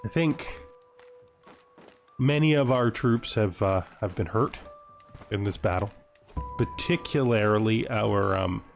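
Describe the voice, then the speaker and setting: A man's voice: fairly low pitch, slow, creaky, pauses often, soft background music. A man giving casual let's-play commentary, thinking aloud and wryly addressing the game's characters. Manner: wry, talks to game characters. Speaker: gaming video host.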